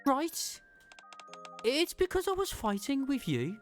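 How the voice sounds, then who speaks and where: A woman's voice: high-pitched, very animated, slow pace, heavily acted. Woman doing a tomboy soldier girl, eager, voice swings up and down a lot, bit of music under it. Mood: tired, warm. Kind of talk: fan-dubbed game story dialogue.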